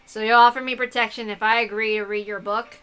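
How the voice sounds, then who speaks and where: A woman voicing a defiant, sarcastic young woman from a visual novel, curt and loud in her delivery. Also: a little high, little pitch movement, fast talker, clear, resonant tone. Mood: skeptical. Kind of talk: visual novel read-aloud.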